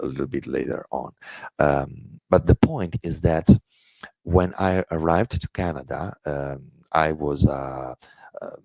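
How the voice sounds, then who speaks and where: A man with an Italian accent talks through a webinar lesson in an earnest way, often restarting and filling pauses with "uh". His voice sits extremely low and has a gravelly edge. The tone is dark and mellow, and the recording is dry and close. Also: dry recording. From Italy. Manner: earnest, many fillers and restarts. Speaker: intercultural education presenter. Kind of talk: webinar lecture.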